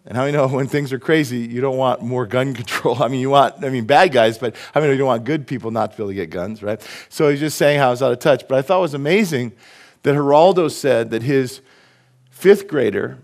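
A middle-aged pastor with a cold speaks from a church stage at a mid-range pitch. He preaches in a chatty way, often asking the congregation questions, and mixes a joke or two into the sermon. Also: fast talker. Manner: conversational, asks the congregation questions. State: has a cold. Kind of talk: sermon with jokes.

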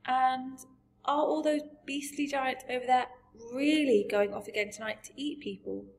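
A woman's voice: high voice, steady tone, moderate pace, gravelly, a little hiss or hum, pitched above the performer's own voice. A woman voicing a curious, kind young girl in a storybook, earnest and questioning. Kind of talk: storybook character voice.

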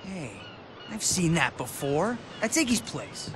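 A man playing an eager, naive young skater in a video game, casual and excitable. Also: a little high, slow, noisy background. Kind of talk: video game cutscene acting.

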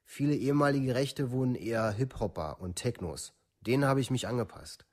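An actor playing a troubled village youth from a far-right scene in a radio play, speaking colloquially in short clipped phrases. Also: male voice, medium pitch, brisk, darkish tone, lightly acted. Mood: matter-of-fact. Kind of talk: documentary radio play monologue.

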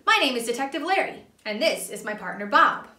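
A woman voicing a hot-tempered, self-serious male cartoon vegetable detective in a storybook, with snappy, deadpan delivery. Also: fairly high pitch, a little fast, roomy sound, recorded from a distance. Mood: self-important.

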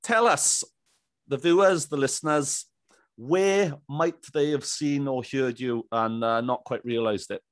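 A man's voice: medium pitch, a little slow, no echo. A British man in his thirties hosting a casual podcast interview in an encouraging, enthusiastic way. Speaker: podcast host. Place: small indoor room, video call. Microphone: studio microphone on arm, headphones.

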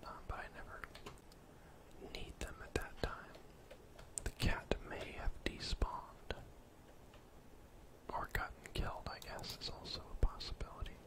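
A young man doing whispered ASMR game commentary in a breathy, unvoiced voice, murmuring to himself as he plays indoors. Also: unhurried, pauses often, low-quality recording. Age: young adult. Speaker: gaming streamer. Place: indoor room at home.

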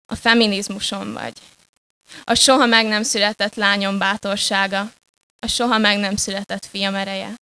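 A young woman performing spoken-word poetry live, deliberate and dramatic, moving between hushed and forceful delivery. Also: medium pitch, flat delivery, clear, resonant tone, rough recording. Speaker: slam poet. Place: live poetry slam stage.

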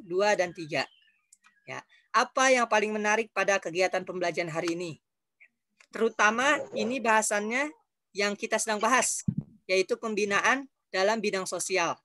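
A man's voice: high voice, pushed and bright. Patient male teacher on a video call. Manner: patient, repeats instructions. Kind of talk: online class explanation.

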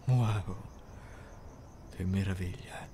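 Man playing a low, deadpan young anime hero. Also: extremely slow, a little hiss or hum. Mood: earnest. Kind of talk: anime fan dub, ASMR-style audio drama.

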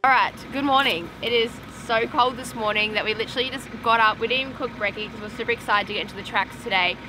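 A woman's voice: a little high, fast, music in the background, rough recording. A young woman with an Australian accent, cheerfully talking to camera outdoors on a camping trip. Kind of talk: casual vlog talk to camera.

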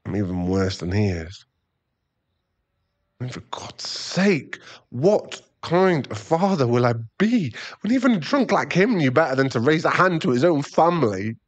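A man playing a remorseful, tender boyfriend and expectant father in an intimate ASMR roleplay, speaking softly in halting, stammered phrases. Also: medium pitch, sing-song, dry recording. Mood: ashamed. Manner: halting, stammering, soft-spoken apology.

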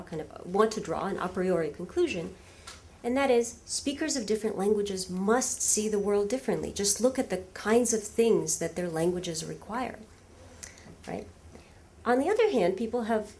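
A woman whose first language is Russian gives a lively academic lecture from a lectern in a large hall, with frequent fillers and rhetorical questions to the audience. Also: mid-range voice.

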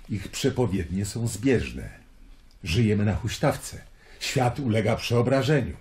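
A worldly Roman traveler from a radio play, with a slightly low voice. He spins his tales eloquently and wryly, with plenty of melodic swing in his delivery. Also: darkish tone. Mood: wry, storytelling. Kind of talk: radio drama acting.

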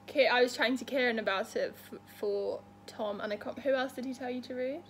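A woman's voice: on the high side, steady tone, brisk, a bit bright. A woman in her twenties hosting a casual book chat and prompting her companion. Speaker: book vlog host. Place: small room at home, indoors. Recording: faintly noisy home room recording.

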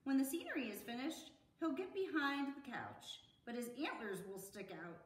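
A middle-aged American woman reading a picture book aloud to children in an expressive, sing-song way. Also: high voice, normal speed. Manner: sing-song, expressive, addressing young listeners. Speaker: children's storytime host. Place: indoor room at an arts center. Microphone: camera a few metres away. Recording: roomy echo, distant camera mic.

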